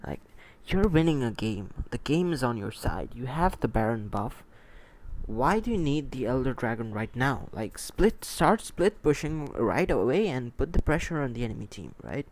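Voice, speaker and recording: A man's voice: medium pitch, big pitch swings, moderate pace, darkish tone, slight background noise. A man who is not a native English speaker hosts a gaming livestream, chatting casually and repeating himself as he comments on matches. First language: a language other than English, not Hindi. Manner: rambling, repeats himself, answers chat as he goes. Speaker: esports livestream host and commentator. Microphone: close microphone.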